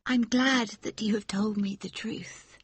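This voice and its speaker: A man voicing an earnest, anxious young wife in a high, strained falsetto. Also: fairly even intonation, unhurried, slightly rough, no room sound. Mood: pleading.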